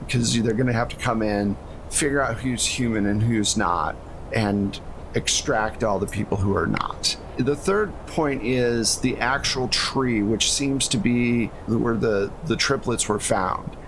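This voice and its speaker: A man hosting a tabletop roleplaying game as game master, talking casually and explaining things in a conversational way. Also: medium pitch. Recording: noticeable background noise.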